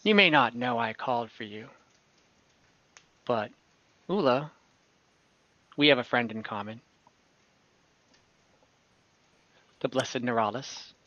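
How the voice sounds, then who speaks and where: A man playing a scheming adventurer who recruits warriors, speaking earnestly and deliberately in tabletop roleplay. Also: mid-range voice, lively intonation, sharp tone, no echo. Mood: confiding. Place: room at home, on a group video call. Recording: dull online-call audio.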